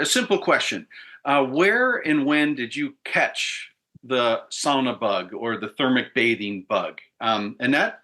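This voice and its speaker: A man's voice: medium pitch, bright, forceful tone. A man hosting a podcast and moderating a panel, asking long, rambling, upbeat questions with many restarts.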